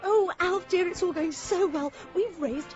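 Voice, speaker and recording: A woman's voice: very high-pitched, unhurried. An actress playing a gushing, fluttery, genteel village lady in a radio drama, effusive and polite. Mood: delighted. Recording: slightly dull, music behind.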